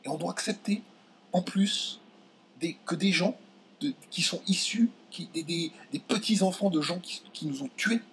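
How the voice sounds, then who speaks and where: A young man from France ranting straight to his viewers, indignant and heated, stumbling over his words and pushing his voice up high when he gets angry. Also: normal speed, fairly bright tone, slight background noise. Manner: indignant, voice jumps high when outraged, many restarts. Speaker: video commentator. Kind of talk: heated direct-to-camera rant.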